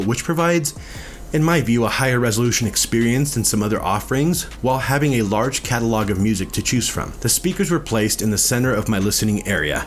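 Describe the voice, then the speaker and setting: A man's voice: lowish voice, slight background noise. A man reading a scripted product review as a narrator and reviewer.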